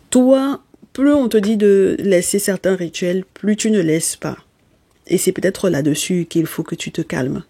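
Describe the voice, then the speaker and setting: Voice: medium pitch, a little mellow, breathy edge, no room sound. A tarot card reader who is not a native speaker of the language. They talk straight to viewers in an emphatic, scolding way, often stopping to check in with "okay?". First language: not French. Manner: speaks directly to listeners with terms of endearment. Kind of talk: spoken tarot reading addressed to viewers.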